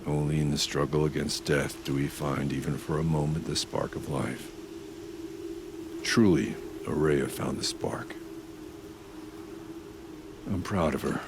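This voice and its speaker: Very deep, gravelly warrior chieftain. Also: male voice, noisy background, full character voice. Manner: grave, deliberate, formal phrasing. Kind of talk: scripted video game cutscene acting.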